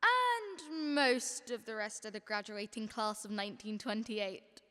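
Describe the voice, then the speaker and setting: Slow heavenly boss in deep reverb, a woman. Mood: storytelling. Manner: measured, expressive storyteller. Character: unhurried heavenly boss recounting a man's life. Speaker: student actor. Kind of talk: radio drama storytelling. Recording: long hall-like reverb.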